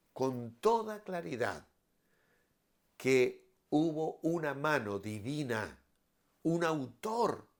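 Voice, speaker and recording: Male pastor, higher-pitched voice, lively intonation, didactic, emphatic phrasing, devotional teaching. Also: gravelly. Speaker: pastor giving a daily devotional. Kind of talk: devotional Bible teaching with scripture reading.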